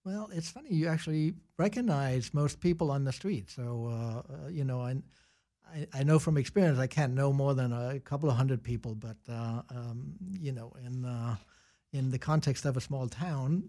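A middle-aged or older man from Denmark with a Danish accent, talking casually and reflectively as an interview guest in halting phrases. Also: medium pitch, warm tone. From small rural town in Denmark. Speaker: interview guest, business advisor.

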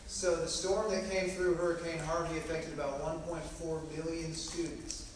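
A man's voice: fairly high pitch, flat delivery. A man from Southeast Texas with a local accent, a lobbyist giving a folksy, joking update to a room of teachers. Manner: folksy, joking, quick bursts with ums. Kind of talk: casual talk to an audience. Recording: roomy, echoey hall from far off with ambient noise.

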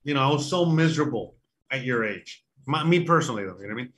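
A man's voice: medium pitch, animated, quick pace, a bit bright, slight background noise. A man of forty co-hosting in casual chat, joking and poking fun at himself. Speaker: livestream co-host.

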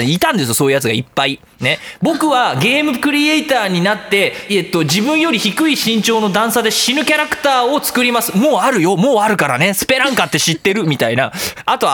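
A man's voice: high-pitched, no room sound. A man hosting a casual comedic radio talk, telling stories in a self-mocking, joking way and acting out bits of dialogue. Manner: animated storyteller, acting out dialogue and game sounds. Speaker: radio talk show host. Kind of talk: comedic casual monologue about games.

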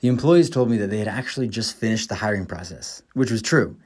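A man's voice: fairly low pitch, fast talker, a little mellow. A young man in his twenties, an American podcast host, reading his own script with an upbeat, enthusiastic manner. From United States. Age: 20s.

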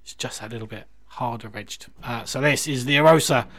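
A man's voice: medium pitch, fairly quick, fairly bright tone. A man hosting a radio music show, introducing tracks in a casual, chatty, enthusiastic and rambling way.

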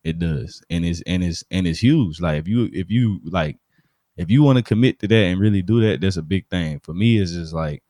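A man's voice: deep voice, moderate pace, mellow, no room sound, clean studio sound. A man from Alabama with a Southern accent, chatting casually in slang and often checking that the listener follows. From Alabama, United States. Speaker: DJ with a studio, podcast speaker. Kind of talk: casual conversational discussion.

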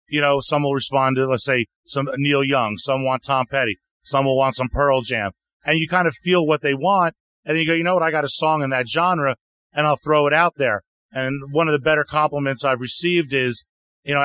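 A man, a singer-songwriter guest, answering interview questions in a relaxed, chatty way full of "you know" fillers. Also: dry recording. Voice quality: deeper voice. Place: outdoor music festival.

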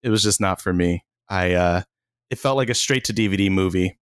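A man's voice: low-pitched, fairly quick, clear, resonant tone, no room sound, clean studio sound. Laid-back man chatting about movies. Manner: laid-back, filler-heavy, laughs often. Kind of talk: casual movie review chat.

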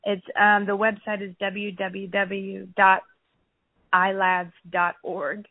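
Hoarse woman on a tinny line. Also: medium pitch, completely flat delivery, takes it slowly, dry recording. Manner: giggly, says she is losing her voice. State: hoarse, clearing throat. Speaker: podcast guest. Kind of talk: casual interview chat. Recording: dry phone-like line.

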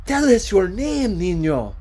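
Man putting on a high, wailing older woman, Dika. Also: sing-song, slow, darkish tone, breathy edge, full character voice. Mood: exasperated.

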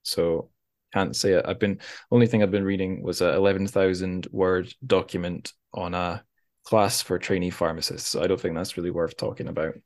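A man with a low-pitched voice hosts a podcast. He talks in a casual, conversational way, as in a relaxed interview about books. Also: normal speed. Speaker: podcast host. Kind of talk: casual literary interview chat.

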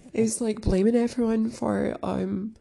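A woman talks in a slow, rambling way, her voice a little sniffly after crying. Her tone is flat and mellow with a gravelly edge. She stops often to clear her throat and fills gaps with ums and likes. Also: on the high side. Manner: halting, many fillers, keeps clearing throat. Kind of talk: casual rambling chat.

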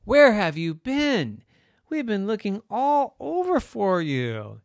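A man's voice: high voice, sing-song, normal speed, creaky, dry recording, clean studio sound, pitched above the performer's own voice, exaggerated voice. A man voicing a worried, fussing mother of a small boy in a children's story. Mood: worried, scolding.

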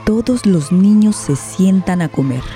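Radio drama narrator, female, warm dark timbre, scripted dramatic delivery over light music. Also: mid-range voice, animated, a little slow.

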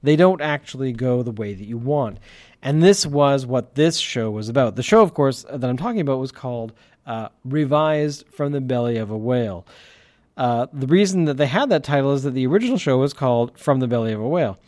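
A man from Canada hosts a solo podcast, talking into a close mic in a loose, rambling way with lots of "uh"s and restarts. He has a light lisp on his S sounds. His voice sits at an ordinary middle pitch, and the room sounds dry and dead. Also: expressive, brisk, a little mellow, no echo. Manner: rambling, many fillers and restarts. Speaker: podcast host. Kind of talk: casual solo podcast monologue.

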